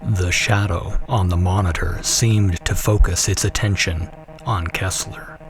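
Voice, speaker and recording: A man narrates a dramatic sci-fi suspense story. His voice is fairly low and very measured in pace, with music clearly playing behind him. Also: steady tone. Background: prominent dramatic music.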